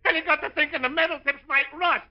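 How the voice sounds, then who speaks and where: A man's voice: very high-pitched, steady tone, fast. An actor playing a nerve-shattered, agitated department store salesman in a 1950s radio comedy. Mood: mounting hysteria. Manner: agitated, building into hysterical shouting. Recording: dull lo-fi old radio broadcast.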